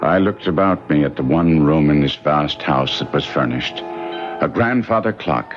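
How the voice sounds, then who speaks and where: Deep-voiced, courteous English solicitor. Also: male voice, expressive, measured pace, over music. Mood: grave narration. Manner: polite, hesitant, old-fashioned phrasing. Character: courteous, hesitant English solicitor, measured and inquisitive. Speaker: radio drama actor. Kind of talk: old-time radio mystery acting. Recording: muffled vintage radio recording.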